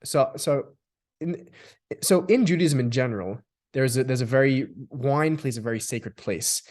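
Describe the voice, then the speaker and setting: A man's voice: medium pitch, moderate pace, darkish tone. A man from Australia talks in an ordinary middle register, in a thoughtful conversational tone. He circles back on his words, restarting phrases as he works toward each point. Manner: thoughtful, restarts and repeats words while building long sentences. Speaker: guest. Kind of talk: reflective conversational discussion.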